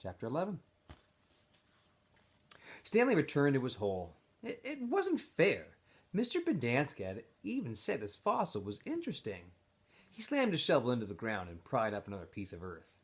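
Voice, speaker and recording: Man reading aloud with very lively pitch. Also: normal speed. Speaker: teacher reading aloud to school. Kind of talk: expressive storybook read-aloud with informal introduction.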